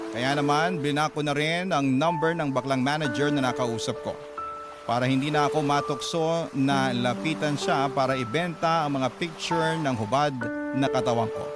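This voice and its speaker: A man's voice: a little high, natural voice. A man playing an earnest letter sender who narrates a life story in a Tagalog radio drama, reflective and confiding. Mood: regretful.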